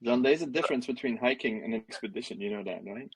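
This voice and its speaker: A man's voice: mid-range voice, quick pace, husky, no echo, low-quality recording. Dry-sounding joking male host. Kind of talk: casual hosting chat.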